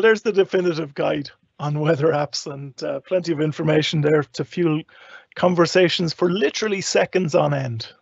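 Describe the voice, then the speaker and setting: A man hosting a casual live show, with a gravelly, husky voice and a conversational presenting style. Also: fairly high pitch, no echo, muffled recording. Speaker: host.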